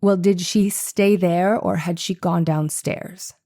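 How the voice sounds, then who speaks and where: Probing courtroom attorney, female actor, formal and insistent, low relaxed voice, measured trial-transcript delivery. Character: probing, persistent male defense attorney cross-examining a witness. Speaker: actor reading a lawyer in a trial re-enactment. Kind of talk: dramatized trial transcript reading.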